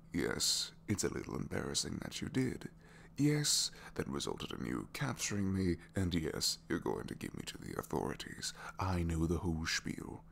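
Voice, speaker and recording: A man's voice: low-pitched, vocal fry, fairly bright tone, heavily acted. A man voicing a reluctant captured supervillain, dry, sheepish and complaining, in a hesitant, rambling way, for an intimate ASMR roleplay. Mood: embarrassed, exasperated. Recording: faint background noise.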